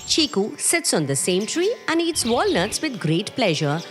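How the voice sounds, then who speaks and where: A woman's voice: on the high side, sing-song, a little slow. Woman narrating a children's story. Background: music.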